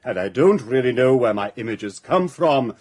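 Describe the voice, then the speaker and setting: An actor playing an earnest, long-winded amateur poet, hesitant and apologetic, with a husky voice in a radio drama. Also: male voice, medium pitch, animated, moderate pace, a little mellow. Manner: apologetic, droning.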